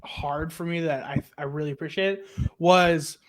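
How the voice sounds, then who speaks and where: A man co-hosting a casual podcast, chatting in a teasing, animated way. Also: on the high side, unhurried. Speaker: podcast co-host. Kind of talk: casual chat, bantering. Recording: noisy online call recording.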